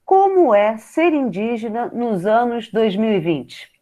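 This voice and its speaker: A woman teacher hosting a class interview podcast, reading prepared questions politely and clearly. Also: on the high side, big pitch swings, takes it slowly, mellow. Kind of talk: scripted school podcast interview.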